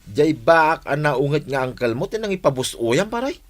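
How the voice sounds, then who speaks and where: An actor with an Ilocano Filipino accent playing an inquisitive, familiar young village buddy in a radio drama. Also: male voice, on the high side, very animated, moderate pace. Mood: questioning, surprised.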